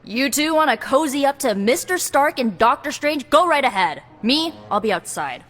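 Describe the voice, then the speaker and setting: High-voiced, indignant young heroine. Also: female voice, sing-song, normal speed, fairly bright tone. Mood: bitter, sarcastic. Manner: sarcastic, emphatic ranting. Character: indignant, hot-tempered young female hero. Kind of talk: video game cutscene voice acting. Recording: long hall reverb.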